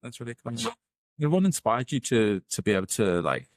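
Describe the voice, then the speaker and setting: A man hosting an interview, asking halting questions full of fillers. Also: mid-range voice, big pitch swings, no room sound, studio quality. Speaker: host.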